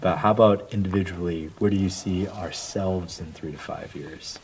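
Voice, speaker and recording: Deep-voiced relaxed male host. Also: normal speed, slight background noise, low-quality recording. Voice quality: slightly raspy. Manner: relaxed, laughs often, trails off softly. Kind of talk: casual family interview chat.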